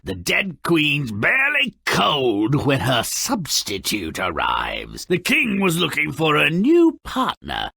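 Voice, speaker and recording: Nasty little dwarf narrator for a game, gravelly n raspy, sneering, slow n theatrical, pitch all over the place. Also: male voice, pushed and bright, no room sound, clean studio sound, exaggerated voice. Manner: sneering, mocking, melodramatic. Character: sardonic, grotesque little dwarf storyteller, gleefully malicious.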